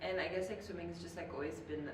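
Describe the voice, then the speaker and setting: A woman's voice: on the low side, steady tone, quick pace. A young woman from Zambia, a guest on a podcast, chatting casually, saying "like" constantly and giggling at herself. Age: young adult. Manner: chatty, says like constantly, giggly and self-mocking. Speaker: guest, professional swimmer. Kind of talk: casual interview chat. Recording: echoey room, mic at a distance, clear background noise.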